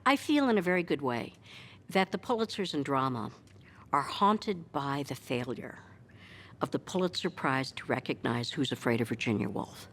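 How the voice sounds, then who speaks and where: A woman's voice: extremely low pitch, expressive, moderate pace, a little hiss or hum. A woman speaking in a considered way in a documentary interview.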